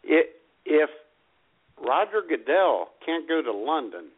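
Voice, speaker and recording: A man's voice: on the high side, takes it slowly, husky. A man co-hosting a comedy podcast in casual, jokey chat, heard through a tinny, phone-like recording. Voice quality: animated, jokey. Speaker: podcast co-host.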